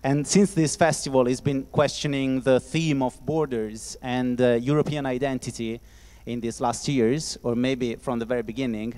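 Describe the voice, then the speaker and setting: A man's voice: medium pitch, steady tone, normal speed, darkish tone. Italian man hosting a discussion in a hall. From Italy. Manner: playful, laughing, thinks aloud with fillers. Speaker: festival discussion host. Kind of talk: casual moderated discussion. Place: festival hall with audience. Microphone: handheld microphone. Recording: reverberant hall.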